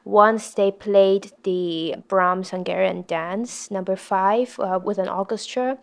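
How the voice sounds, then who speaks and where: A young woman from Hong Kong talks slowly and softly, stopping often to search for words. Her voice has a gravelly fry running through it. She is a piano contestant speaking casually in an interview. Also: mid-range voice, fairly even intonation. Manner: soft-spoken, halting, with many fillers.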